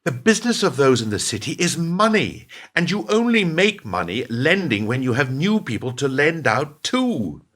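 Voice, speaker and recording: A man's voice: on the high side, big pitch swings, normal speed, few pauses, fairly bright tone. A man acting in a radio play as a cynical, scheming businessman, scornful and unrepentant, with a theatrical, pointed delivery. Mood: scornful, exasperated.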